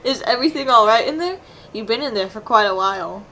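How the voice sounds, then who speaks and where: A woman voicing a mischievous, excitable, doting boyfriend in a theatrical comedic fan fiction reading. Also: fairly high pitch, fast talker. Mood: attentive. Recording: clearly noisy home recording.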